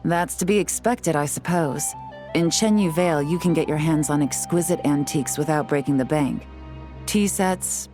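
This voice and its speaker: Woman investigator, lowish voice, cool, articulate, businesslike, wry, game dialogue, faint music. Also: mellow. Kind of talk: scripted video game dialogue.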